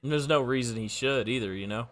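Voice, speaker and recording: Male podcast guest, bright sharp tone, slightly high, slangy, agreeable, casual chat, light music underneath. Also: fast.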